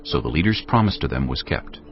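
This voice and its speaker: A man narrating a dramatized Bible reading in a solemn, measured storytelling manner. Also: rumbling low, expressive, muffled recording. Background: background music.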